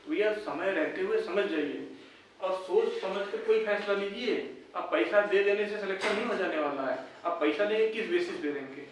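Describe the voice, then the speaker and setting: A man's voice: sits high, moderate pace, slight background noise. A man, giving informal, blunt, argumentative commentary as an online host, full of rhetorical questions. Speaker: YouTube exam-update host. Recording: echoey room, distant mic.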